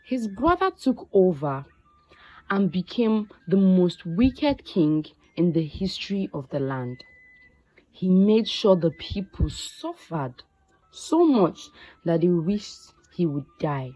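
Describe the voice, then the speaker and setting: Slow, low-pitched woman storyteller. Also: very animated, mellow, no room sound, poor audio. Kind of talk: scripted folktale narration.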